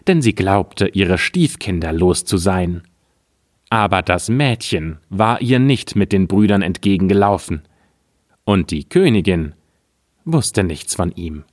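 A man narrating a scripted fairy tale as a storyteller, with a measured, expressive read-aloud delivery. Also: fairly low pitch, no echo, studio quality.

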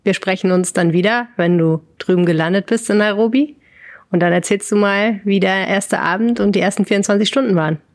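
A woman's voice: medium pitch, a little fast. A German woman hosting a podcast interview, asking curious, encouraging questions. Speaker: podcast host.

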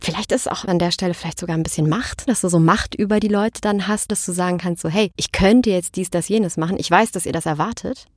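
A woman's voice: lowish voice, quick pace, few pauses, no echo. Woman chatting casually, dry close sound. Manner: animated storytelling with colloquial slang. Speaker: interview guest, voice actress. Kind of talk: casual interview chat.

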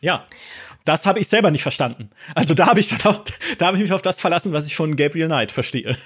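A man from Baden in Germany, with a Baden German accent, chats on a podcast. His voice sits a little high and has a husky edge. He talks animatedly and breaks into laughter as he retells scenes. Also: fast. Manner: animated, laughs often, acts out game lines. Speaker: podcast co-host. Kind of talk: casual podcast chat.

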